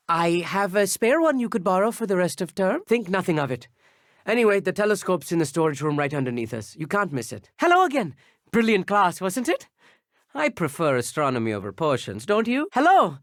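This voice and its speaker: A man's voice: high-pitched, no echo, clean studio sound. A young man voicing an eager, bookish teenage schoolboy wizard in a video game, articulate, formal and slightly pompous, nervous but boastful. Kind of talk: video game character dialogue.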